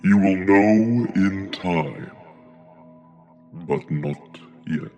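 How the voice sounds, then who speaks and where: Man playing a deep, slow otherworldly guide. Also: mellow, highs cut off, faint music behind, full character voice. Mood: solemn reassurance. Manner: measured, formal, reassuring. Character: calm, mysterious otherworldly male guide, solemn and all-knowing.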